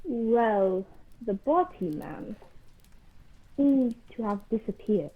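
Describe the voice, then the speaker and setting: A woman's voice: fairly high pitch, big pitch swings, slow. A woman playing a deferential, obedient servant in an amateur radio play, hesitant, over a tinny video call. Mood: apologetic.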